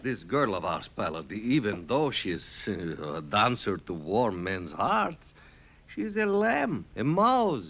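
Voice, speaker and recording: A wily immigrant farmer, florid and melodramatic. His creaky voice leaps dramatically up and down as he pleads, on a muffled vintage radio western recording. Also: fairly low pitch, sing-song, unhurried, full character voice. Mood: indignant, dramatic. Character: wily, theatrical immigrant farmer, haggling father. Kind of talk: old-time radio western acting.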